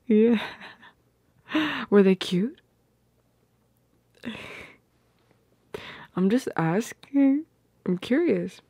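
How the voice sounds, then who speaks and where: A woman playing an affectionate, teasing girlfriend in an ASMR roleplay, soft and breathy, often slipping into whispers, close to the mic. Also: mid-range voice, dry recording, heavily acted. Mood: playfully jealous.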